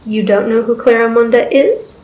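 A woman's voice: on the high side, fairly even intonation, moderate pace, darkish tone, reverberant, natural voice. A woman voicing a wary, determined young man with a guarded, firm manner in an audiobook. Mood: careful, questioning. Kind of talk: audiobook character voice.

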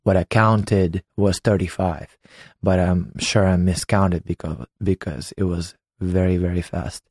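Guy, late 20s, deep monotone, dry close sound, slow halting storytelling. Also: breathy edge, no echo. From Ukraine. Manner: unhurried, halting, recounting a memory. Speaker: former competitive swimmer. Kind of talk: personal storytelling.

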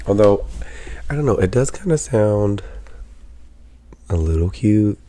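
A man hosting his own podcast, talking casually with a sick, raspy voice, rambling with lots of fillers and swearing. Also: fairly low pitch, expressive, unhurried, mellow. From Pennsylvania. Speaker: podcast host. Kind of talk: casual solo podcast chat.

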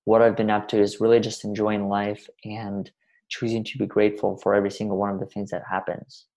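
A man's voice: lowish voice, flat delivery, a little fast, a little mellow, low-quality recording. A man in his twenties, originally from Russia, talking earnestly in long, winding sentences as a podcast guest. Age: 20s. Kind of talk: reflective interview talk.

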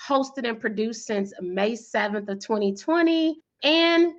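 A woman in her thirties or forties, guest in an interview, talking energetically and emphatically with a loud, powerful voice and frequent laughter. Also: on the high side, unhurried, dull audio. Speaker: guest, PR firm founder and speaker.

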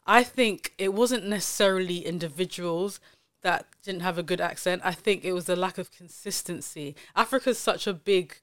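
A woman's voice: fairly low pitch, moderate pace, a bit bright, no room sound, studio quality. A woman hosting a casual podcast chat, talking loosely with lots of fillers and restarts. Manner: chatty, many fillers and self-corrections. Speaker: podcast host.

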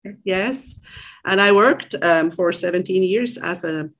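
A woman's voice: fairly low pitch, measured pace. A woman, a podcast guest chatting casually with frequent restarts and fillers. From Switzerland. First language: German. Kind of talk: casual conversation.

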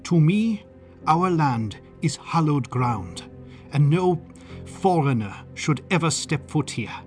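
A man's voice: on the high side, sing-song, slow pace, darkish tone, soft background music. A man voicing a rigid, zealous police lieutenant who speaks earnestly and blurts out his devotion.

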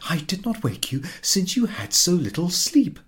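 A man's voice: sits high, very animated, moderate pace, pitched above the performer's own voice. A man voicing a frantic young wife in a story, urgent, nagging and exclamatory as she rouses her husband. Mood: reproachful.

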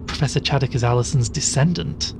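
A man's voice: mid-range voice, a little fast, gravelly, background noise, close to an everyday voice. A man playing an inquisitive, sceptical show host in an audio drama, conversational, probing and a little impatient. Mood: curious, impatient.

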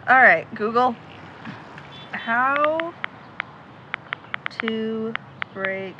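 A woman playing a sensitive, childlike young road-tripper in an audio drama, halting and given to sighs. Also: on the high side, sharp tone, a little hiss or hum, heavily acted, low-quality recording. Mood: frustrated, muttering. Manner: halting, sighing. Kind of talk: naturalistic audio drama acting.

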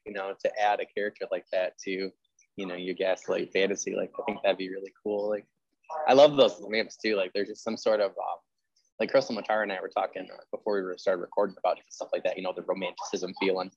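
A man's voice: sits low, level tone, fast talker, gravelly, dry recording, highs cut off. A man hosting a casual interview about genre fiction, talking in a rambling way full of filler phrases. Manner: rambling, peppered with "like" and "you know". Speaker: interviewer and host; history teacher.

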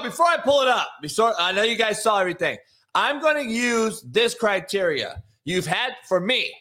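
An American man with a high, forceful voice hosts a sports talk show. He is brash and blunt, debating casually and swearing freely.

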